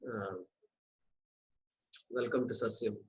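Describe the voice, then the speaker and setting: Halting man hosting a video call. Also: on the low side, steady tone. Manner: halting, many fillers and restarts. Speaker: course organizer and host. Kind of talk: casual video call talk. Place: home in India.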